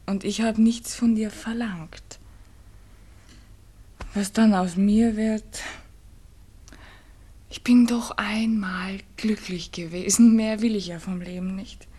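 An actress playing a devoted, anxious young woman in a 1960s radio play, with a Viennese accent and a soft, hushed, pleading way of speaking. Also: medium pitch, fairly even intonation, takes it slowly, long pauses, husky. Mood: tender resigned devotion. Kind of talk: 1960s German-language radio play acting.

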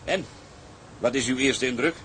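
An actor playing a hearty, plain-spoken tugboat skipper and owner in an audio drama. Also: male voice, mid-range voice, moderate pace, fairly bright tone, slight background noise, natural voice. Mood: courteous. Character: hearty male tugboat skipper selling his beloved ship. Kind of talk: Dutch audio drama dialogue.

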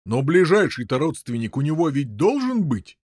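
A man's voice: a little high, very animated, normal speed, a little mellow, no echo, studio quality. A man voicing a bluff, commanding older knight, lord of a castle, with an emphatic manner in an audiobook story. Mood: startled.

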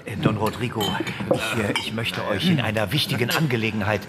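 An actor playing a humble, earnest friar in a radio drama, speaking courteously and with some hesitation. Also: male voice, lowish voice, brisk, a loud crowd, close to an everyday voice.

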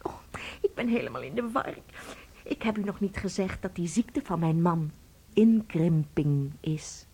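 Nervy young wife in a radio play, husky breathy voice, up and down all over, halting. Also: female voice, fairly low pitch, expressive, warm tone, full character voice. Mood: distraught, confused. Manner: halting, flustered, dramatic. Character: flustered, anxious, emotional young wife.

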